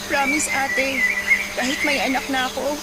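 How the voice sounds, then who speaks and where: A woman's voice: sits high, little pitch movement, moderate pace. An actress playing a remorseful younger sister and new mother, apologetic and tearful in a radio drama. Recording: loud background noise. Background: noise.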